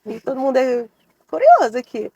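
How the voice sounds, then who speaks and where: A woman hosting a casual podcast interview, talking in a chatty, unhurried way. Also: sits high, wildly swooping pitch, mellow, husky, no room sound. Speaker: podcast host.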